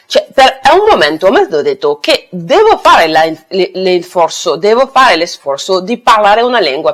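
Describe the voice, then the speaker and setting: A woman's voice: medium pitch, a bit bright. A woman with a French accent, a native French speaker giving casual, emphatic language-learning advice to the camera. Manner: switches between English, French and Italian, emphatic and encouraging. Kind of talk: casual talking-head advice.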